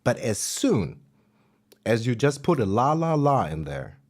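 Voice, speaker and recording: A man with a German accent chatting casually on a music livestream, relaxed and self-deprecating, with plenty of fillers. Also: mid-range voice, measured pace.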